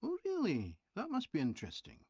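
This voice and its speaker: An actor playing a polite train company representative asking survey questions in a scripted listening-test dialogue, clearly enunciated. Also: medium pitch, wildly swooping pitch, fairly quick, darkish tone, no room sound, highs cut off, full character voice. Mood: polite, inquiring.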